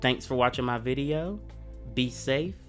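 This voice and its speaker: A man's voice: mid-range voice, measured pace, soft background music. A man hosting a history channel, talking in an earnest, conversational way while he comes down with a cold. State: coming down with a cold. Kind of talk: reflective history commentary.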